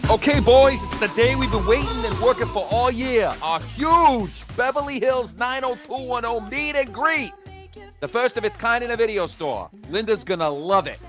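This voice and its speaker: Man acting an animated, eager event organizer. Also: very high voice, moderate pace, over music. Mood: excited. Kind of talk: comedic audio play acting.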